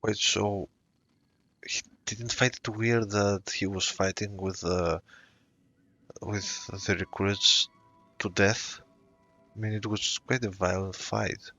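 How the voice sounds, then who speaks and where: A male streamer gives casual, musing game commentary in a low, forward voice. He takes his time between thoughts. The mic sounds slightly dull, with faint game music underneath. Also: flat delivery, bright, forceful tone. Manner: unhurried musing, casual swearing. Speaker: gaming streamer. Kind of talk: casual gameplay reaction commentary.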